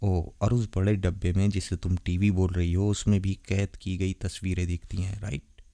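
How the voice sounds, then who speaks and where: A naive, protective young vampire boyfriend, acted by a man in a romantic audio drama. His voice is low and velvety dark, with earnest, dramatic delivery. The sound is dry, with no room around it. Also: flat delivery, moderate pace, dry recording. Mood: amazed. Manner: earnest, dramatic, puzzled questioning. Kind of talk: romantic ASMR roleplay audio drama in Hindi.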